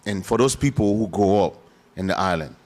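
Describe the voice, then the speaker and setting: A man's voice: lowish voice, a little fast. A man from Haiti telling stories emphatically in a casual group discussion on a talk show. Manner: animated storytelling, emphatic repetition.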